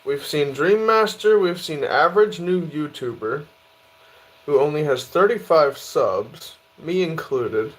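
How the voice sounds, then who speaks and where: A young man in his twenties talks casually on his live stream, reading chat aloud and answering each question in turn. His voice sits a little high for a man, and his manner is relaxed and easygoing. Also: measured pace, low-quality recording. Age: 20s. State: tired.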